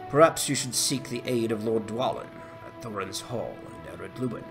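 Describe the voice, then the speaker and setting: A noble, ancient elf lord acted out by a man speaks low and solemn over music. His words are formal and measured, fit for a fantasy game saga. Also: low-quality recording. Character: noble, grave male elf lord of great age. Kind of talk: dramatized fantasy game quest dialogue.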